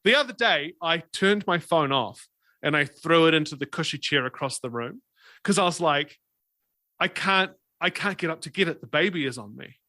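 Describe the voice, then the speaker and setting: A man's voice: a little high, animated, normal speed, bright, forceful tone, no room sound, clean studio sound. A man chatting casually as a podcast guest, telling everyday anecdotes.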